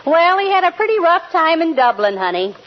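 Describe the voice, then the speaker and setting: A woman's voice: very high voice, big pitch swings, fairly quick. A woman playing a chatty, jovial household friend telling folksy stories in a 1940s radio comedy. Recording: muffled noisy vintage radio recording, loud audience.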